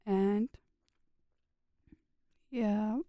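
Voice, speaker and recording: A young woman from Pakistan, a student whose first language is a regional Pakistani language, talking in a casual radio interview, laughing often and speaking in short halting phrases. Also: medium pitch, steady tone, mellow, dry recording, clean studio sound. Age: young adult.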